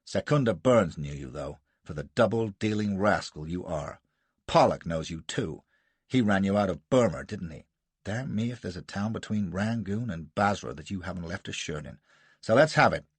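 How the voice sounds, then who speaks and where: A man voicing a gruff, blustering American colonel who barks scornful outbursts, with an American accent. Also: animated, moderate pace, no room sound, heavily acted. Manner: barking, scornful, booming outbursts.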